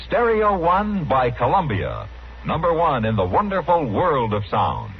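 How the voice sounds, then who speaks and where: An American male announcer delivers a polished vintage radio advertisement. His fairly high voice rises and falls enthusiastically, on a slightly hissy, muffled old recording. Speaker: commercial announcer. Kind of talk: 1950s radio advertisement, scripted.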